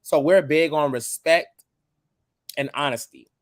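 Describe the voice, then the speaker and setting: A man's voice: a little high, a little slow, dry recording. Blunt cussing young man, dry sound. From United States. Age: 20s. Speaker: guest. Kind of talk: casual chat.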